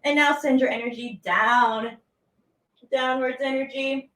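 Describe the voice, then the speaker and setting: A woman with a bright, very high voice teaches a children's movement class. She is playful and exclamatory, drawing words out as she gives easy instructions. The sound is echoey, as if she stands back from the mic in a room. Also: steady tone, a little slow. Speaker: teaching artist leading a children's warm-up. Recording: roomy echo, distant from the mic.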